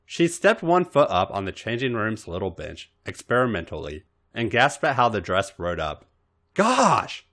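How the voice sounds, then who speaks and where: Deep-voiced, highly animated man narrating a story. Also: moderate pace, hardly any pauses. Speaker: podcast host reading fan fiction.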